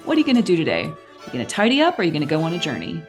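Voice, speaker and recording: Expressive woman with a lowish voice. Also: rapid-fire, soft background music. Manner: expressive, acts out examples. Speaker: podcast guest, artistic director and writer. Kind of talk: conversational explaining.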